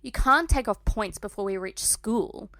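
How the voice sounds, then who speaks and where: A woman's voice: fairly high pitch, sing-song, normal speed, bright, forceful tone, no room sound, pitched above the performer's own voice. A woman voicing a defiant, complaining schoolboy in a story reading, whiny and protesting.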